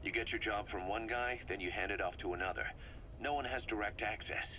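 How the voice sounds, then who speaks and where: A man's voice: fairly low pitch, fairly quick, pushed and bright, a little hiss or hum, rough recording. An actor playing a bitter middle-aged mercenary commander in a video game, briefing his boss in a terse, intense way. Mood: resolute. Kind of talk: dramatic video game acting, expository briefing.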